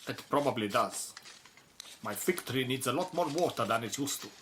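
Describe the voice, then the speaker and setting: Man playing a bright-voiced archaeologist. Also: mid-range voice, animated, moderate pace, slight background noise, natural voice. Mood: friendly, thoughtful. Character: courteous but firm male Egyptian archaeologist and official. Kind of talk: radio drama acting.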